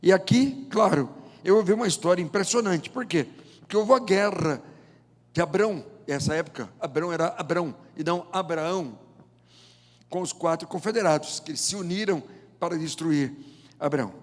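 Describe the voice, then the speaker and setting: Male pastor, 70s, Brazilian accent. Fairly high voice, measured emphatic sermon delivery, long auditorium reverb. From João Pessoa, Paraíba, northeast Brazil. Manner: deliberate, emphatic phrasing with rhetorical questions and pauses. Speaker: pastor, preacher. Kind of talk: sermon with scripture reading and prayer. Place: large indoor church auditorium.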